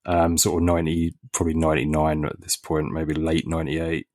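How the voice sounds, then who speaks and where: Deep-voiced guy on a podcast, laid-back, dry sound. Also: completely flat delivery, a little fast, darkish tone, no room sound. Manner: laid-back storytelling with ums. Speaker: podcast co-host. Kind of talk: casual chat.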